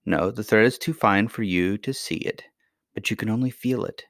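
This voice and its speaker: A mysterious, kindly grandmother from a children's storybook, read by a male narrator. The voice sits fairly low and stays serene, gentle and patient, reassuring as it instructs. The sound is dry. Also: moderate pace, no echo. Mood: patient, instructive. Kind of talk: acted dialogue in a children's fairy tale reading.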